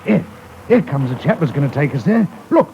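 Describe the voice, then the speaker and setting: A cheery soldier under fire, high-voiced and swinging wildly in pitch. The old radio-play recording is muffled, with clear noise around him. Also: male voice, sing-song, brisk. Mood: cheerful hope. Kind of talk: 1950s radio play acting.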